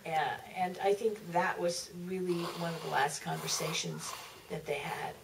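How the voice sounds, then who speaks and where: A woman author recollecting at a live Q&A in a theater, speaking haltingly with repeats and trailing phrases. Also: sits low, creaky. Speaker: author, panel guest. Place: museum theater. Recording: distant, noisy auditorium pickup.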